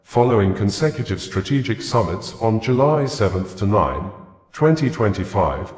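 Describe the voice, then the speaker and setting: Man, low voice, formal news reading, roomy echo. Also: normal speed. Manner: steady formal newsreader delivery. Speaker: news reader.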